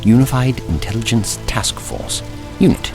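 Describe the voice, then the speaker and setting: A man playing an eccentric, bewildered and fond time traveller in an audio drama, with theatrical, halting speech. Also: mid-range voice, a little mellow, faint music behind, lightly acted. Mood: earnest.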